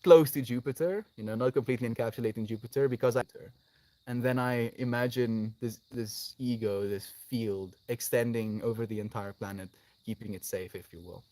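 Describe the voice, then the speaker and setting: A man's voice: mid-range voice, fairly even intonation, moderate pace, dark tone, dry recording. Male livestream host, effusive and affectionate, casual delivery, close dry sound. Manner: effusive, affectionate, calling listeners "friend". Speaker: live streamer, host. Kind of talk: casual livestream chat, responding to viewer comments.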